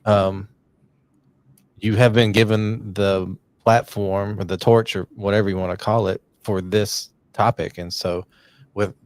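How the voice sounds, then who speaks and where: Man, middle-aged, podcast host, low voice, dry close sound, earnest, rambling. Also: level tone, measured pace, no room sound. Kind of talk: casual podcast conversation.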